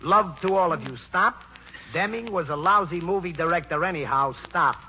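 Bright, brash old-time theatrical manager. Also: male voice, fairly high pitch, normal speed. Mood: brisk, blunt. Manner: colloquial, emphatic, blunt. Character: brash, genial, folksy older theatrical manager. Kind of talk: 1940s radio acting. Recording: dull, noisy 1940s radio recording.

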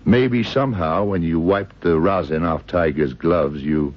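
An actor playing a tough, shrewd, sardonic American police detective in an old radio crime drama. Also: male voice, low voice, a little slow. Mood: accusing. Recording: muffled, noisy old radio drama recording.

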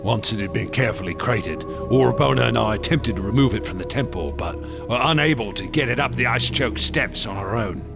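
An obsessed explorer in a radio horror drama. His voice swoops widely and crackles with a gravelly edge, boastful and excitable, moving from hushed awe to feverish eagerness over prominent dramatic music. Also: on the low side, fairly quick, few pauses. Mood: awed, haunted. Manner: theatrical, intense, swinging from hushed awe to eager bursts.

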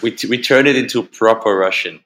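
A man from Austria whose first language is German, speaking English with an Austrian German accent as an interview guest, halting and repeating words, often laughing. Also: lowish voice, fairly bright tone. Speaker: musician guest. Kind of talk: casual interview answers.

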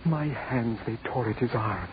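An actor playing the weary, sorrowful ghost of a drowned son in a radio drama, speaking in a mournful, halting, pleading way. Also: male voice, medium pitch, natural voice. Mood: anguished. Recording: dull, noisy old radio recording.

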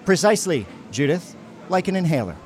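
A man's voice: on the high side, big pitch swings, brisk, soft background music. A man playing a cocky, teasing teenage boy at camp in an audio drama, with flippant, slangy talk. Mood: defensive.

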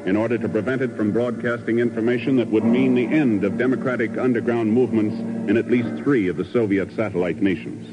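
A man's voice: fairly low pitch, fairly even intonation, fast, few pauses, loud music behind the voice. A man doing scripted announcing and narration in 1950s radio style. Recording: muffled 1950s radio broadcast.

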